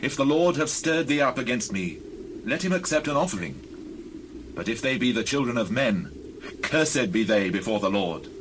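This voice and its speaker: An actor playing an earnest, pious fugitive warrior in a dramatized scripture, speaking in solemn, formal, declamatory archaic phrases. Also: male voice, medium pitch, expressive, moderate pace, fairly bright tone, a little hiss or hum, close to an everyday voice, low-quality recording. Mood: pleading, humble. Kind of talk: dramatized scripture audio acting.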